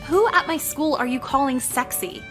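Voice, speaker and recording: A jaded high school girl in a visual novel game. Her voice is high and she delivers her lines with deadpan, profane sarcasm. Music plays clearly behind her. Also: moderate pace. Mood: exasperated. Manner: deadpan, foul-mouthed.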